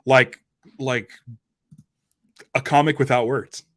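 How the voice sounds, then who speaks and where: Regular mid pitched guy on a podcast, just chatting pop culture casually. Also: fairly even intonation, slow. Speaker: podcast panelist.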